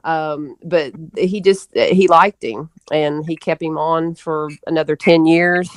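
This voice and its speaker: A woman's voice: low voice, slow, dry recording. A middle-aged woman from Memphis speaks in a dry recording with little room sound. She reminisces casually as an interview guest, telling stories in a folksy, anecdotal way. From Memphis, Tennessee, USA.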